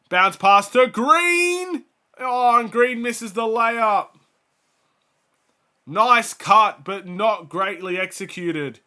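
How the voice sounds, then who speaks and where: A young man hosting a fan watchalong, giving casual play-by-play and stats while sick, his voice starting to go. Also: sits high. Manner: rattles off stats, gets louder on big plays. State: sick, voice going. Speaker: fan host of a watchalong stream.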